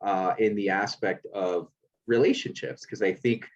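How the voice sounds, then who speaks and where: A man's voice: fairly low pitch, fairly even intonation, a little slow, muffled recording. A man hosting a podcast and life coaching, reading an earnest intro and then asking questions with many fillers.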